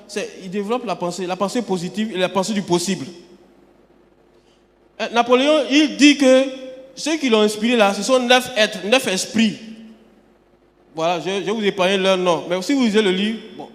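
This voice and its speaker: A man from Côte d'Ivoire, preaching an emphatic sermon and often repeating words as he restarts. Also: high-pitched. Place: church sanctuary. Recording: long hall reverb.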